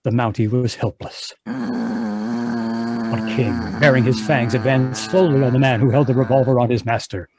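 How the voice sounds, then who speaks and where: A man's voice: medium pitch, fairly even intonation, moderate pace, a loud crowd, natural voice. A man acting as a grave, suspenseful narrator of an old-time radio adventure serial.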